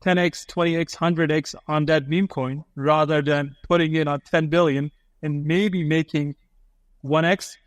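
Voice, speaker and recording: A man's voice: a little high, fairly even intonation, a little slow, dry recording. A man from Afghanistan, a guest on a podcast, talking casually and acting out investors' thinking in quoted asides.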